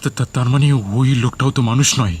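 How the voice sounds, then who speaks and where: A man's voice: medium pitch, steady tone, quick pace, a little hiss or hum. A man narrating a scripted first-person horror story in a dramatic, suspenseful manner. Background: music under the voice.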